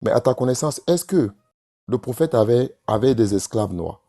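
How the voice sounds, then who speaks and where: A man debating calmly on a live stream, measured and often restarting his phrases. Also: mid-range voice.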